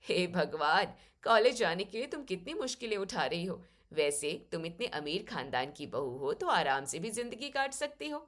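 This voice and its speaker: A woman's voice: fairly low pitch, big pitch swings, moderate pace, hardly any pauses, a bit bright, pitched below the performer's own voice. A woman playing a kindly elder sister-in-law who speaks with familiar concern in a family audio story. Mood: surprised, concerned.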